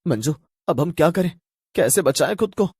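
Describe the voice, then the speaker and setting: A man's voice: on the high side, animated, moderate pace, a little mellow, husky, dry recording, studio quality. A man playing a worried young husband in a cartoon story, talking earnestly. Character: worried young husband working at a pizza shop. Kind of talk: animated cartoon story voice acting.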